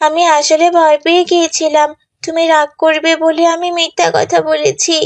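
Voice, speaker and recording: A woman's voice: very high voice, level tone, normal speed, no echo. A woman voicing a timid, apologetic young girl in a children's moral cartoon, with a pleading, childlike manner. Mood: sheepish, apologetic.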